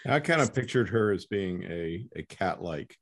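A man hosting a casual conversational interview, rephrasing his questions haltingly as he goes. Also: sits low, expressive. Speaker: podcast host interviewing an author.

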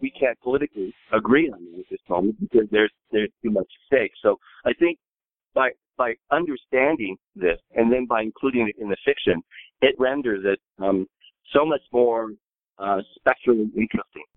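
An American man, an author, speaking reflectively on a panel in a deliberate, halting way that repeats words. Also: medium pitch, no room sound. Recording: narrow phone-like line.

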